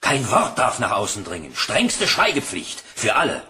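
An actor playing an authoritative bank director, stern and deliberate, in a German crime radio play. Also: male voice, medium pitch, huge pitch swings, pushed and bright, slight background noise. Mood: measured, commanding. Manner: commanding, deliberate.

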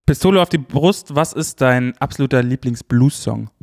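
A man's voice: mid-range voice, normal speed, gravelly, a little mellow. A man interviewing a musician in a casual, teasing and enthusiastic way. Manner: teasing, enthusiastic, cheers her on.

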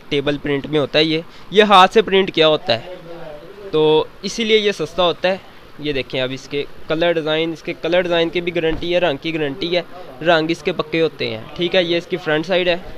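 A young man, a student and shop owner's son, earnestly pitching fabrics and guarantees into a handheld mic in a cloth warehouse. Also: fairly bright tone. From Pakistan. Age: young adult. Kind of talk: product pitch. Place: indoors, small cloth warehouse.

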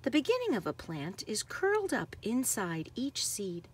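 Woman, mid-pitched, slightly husky, expressive up-and-down delivery, teacher-style picture book read-aloud, emphasis on key words. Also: moderate pace.

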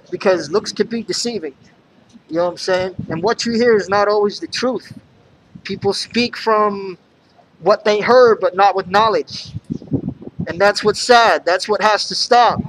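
A man's voice: high voice, a bit bright, slight background noise, rough recording. A man, a musician, speaking earnestly at an outdoor vigil, often asking "you know what I'm saying?". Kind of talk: impassioned street speech. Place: outdoor square in front of city hall.

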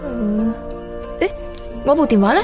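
An actress playing a clumsy, apologetic young injured employee in a radio drama. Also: female voice, a little high, lively intonation, unhurried, over music. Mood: flustered, apologetic. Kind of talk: Cantonese radio drama acting.

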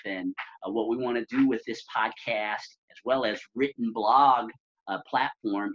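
Husky man hosting over a dull call. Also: medium pitch. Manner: measured, polished, some fillers. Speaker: podcast host. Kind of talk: interview hosting. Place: office room with plain wall. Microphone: video call.

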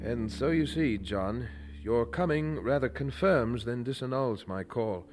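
An actor playing a devout, thoughtful young preacher in a radio drama, speaking formally and earnestly. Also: male voice, medium pitch, unhurried, a little mellow, faint music behind, lightly acted. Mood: resolute.

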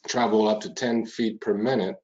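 A middle-aged man with a French Canadian accent presents a webinar over a video call, loose and chatty, with plenty of "uh"s. His voice sits fairly low. The audio is a little muffled and lo-fi. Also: steady tone, normal speed, a little mellow. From Quebec, Canada. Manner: informal, chatty, with frequent fillers.

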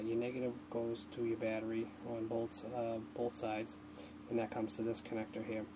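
A man's voice: medium pitch, noisy background. A man thinking aloud in a casual, unscripted how-to narration, like a hobbyist at his workbench. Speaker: hobbyist host demonstrating a radio modification. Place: indoor home workshop, at a workbench.

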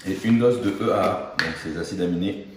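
Male fitness vlogger, thirties, low voice, casual chat, picked up afar in a noisy room. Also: brisk. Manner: informal, conversational. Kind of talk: casual vlog chat about training and diet. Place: home: open living area and garage-like home gym. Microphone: camera mic at a distance. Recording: echoey, distant camera mic with background noise.

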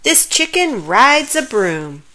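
A bold, bossy storybook hen in a witch hat, as a woman puts her on. She makes big, confident announcements in a bright, high voice. Her pitch leaps about. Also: very animated, slow pace, full character voice.

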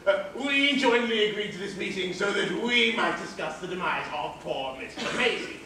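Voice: medium pitch, animated, moderate pace, bright, forceful tone. A young performer playing a pompous, indignant elderly Major in a care home, in an amateur stage comedy recorded from far off in an echoey theatre. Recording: distant echoey theatre, faint noise.

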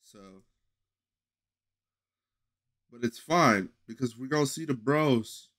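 A young man with a low voice chatting loosely to his stream audience from a headset in his bedroom, rambling with many fillers and restarts. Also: slow pace, a bit bright. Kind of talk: casual live-stream chat. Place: small bedroom streaming setup indoors.

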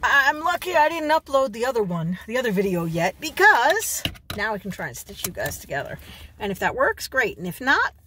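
A woman's voice: fairly high pitch, sing-song, normal speed, bright, forceful tone, slight background noise. A woman in her forties from New York, vlogging casually from a parked car into a phone held at arm's length, chatty and given to digressions.

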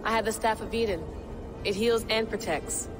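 An actress playing a blunt, determined modern heroine who swears, in a video game cutscene. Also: female voice, a little high, normal speed, close to an everyday voice, rough recording. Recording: game audio with music behind.

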